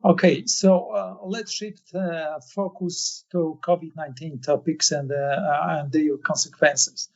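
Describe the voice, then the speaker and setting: Man, interviewer, slow halting delivery, higher voice, dull lo-fi sound. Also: fairly even intonation, creaky, a little mellow. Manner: halting, with many "uh" pauses. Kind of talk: reading prepared questions.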